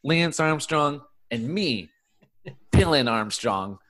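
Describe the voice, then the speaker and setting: A man's voice: fairly high pitch, lively intonation, takes it slowly, fairly bright tone, exaggerated voice. A man playing a self-important, boastful diner customer, pompous and insistent, in improvised comedy. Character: self-important, boastful breakfast diner craving historic glory.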